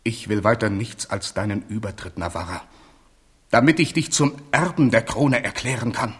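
A man's voice: fairly low pitch, normal speed, fairly bright tone, close to an everyday voice. An actor in a German radio play playing a capricious, wounded French king, swinging from theatrical outbursts to plaintive murmurs. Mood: plaintive, weary.

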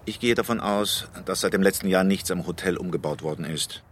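A man plays a coolly logical gentleman detective in a radio play, with a low voice. He puts his questions deliberately and probingly, over some faint ambient noise. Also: lightly acted. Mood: calm, deliberate. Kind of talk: radio drama acting.

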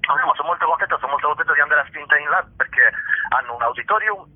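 Husky male actor, self-deprecating, chuckles, tinny phone-like audio. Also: on the high side, lively intonation, racing. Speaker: actor and author guest. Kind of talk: radio interview.

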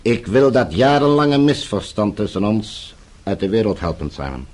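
Menacing middle-aged official, radio drama, very low husky voice, measured, condescending, formally polite. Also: male voice, very animated, a little mellow. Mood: measured, conciliatory. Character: smooth-talking, calmly menacing middle-aged Eastern Bloc official. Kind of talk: Dutch radio play acting.

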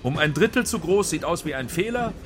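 A man's voice: a little high, lively intonation, brisk, music in the background, natural voice. An actor playing a self-assured, pedantic film director in a radio drama, explaining his vision. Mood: keen, explanatory.

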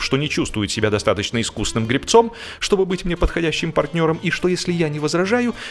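A man narrating a humorous story expressively, with a wry, theatrical manner and deliberate pauses. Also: a little high. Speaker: audiobook narrator.

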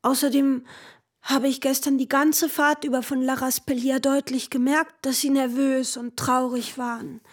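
Young woman, high and husky, soft concern, intimate radio drama. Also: level tone, moderate pace. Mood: tender, concerned. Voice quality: breathy, husky. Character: tender, concerned young woman comforting a troubled man.

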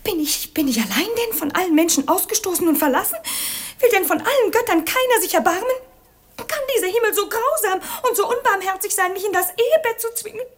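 A cruel young princess in a classic radio drama. Her voice is extremely high and swoops wildly as she declaims and commands with haughty, quick-tempered theatricality. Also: expressive. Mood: anguished lament. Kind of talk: classic German radio play acting.

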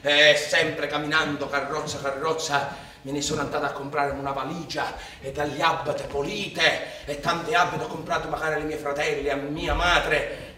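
A rustic Sicilian labourer with a high, emotional voice, declaiming his life story like a stage monologue. He sounds far from the mic in a very echoey theatre, with loud music playing behind. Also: level tone, normal speed, a little hiss or hum. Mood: quietly elated. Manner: impassioned, declamatory storytelling in rustic dialectal speech. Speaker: stage actor narrating in first person. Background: loud instrumental music.

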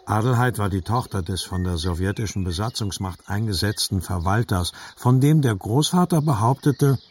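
A man reading a literary story as a scripted audiobook narration, with a husky voice. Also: fairly low pitch, moderate pace, a little mellow.

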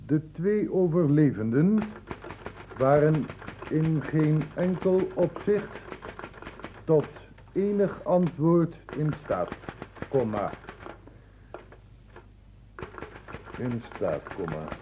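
Radio play pedantic, curt senior doctor; slow delivery, dull noisy tape. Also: male voice, fairly high pitch, very animated. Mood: measured, dictating. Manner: pedantic, deliberate when dictating, curt in questioning. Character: brisk, impatient senior male doctor dictating a report. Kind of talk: radio drama acting.

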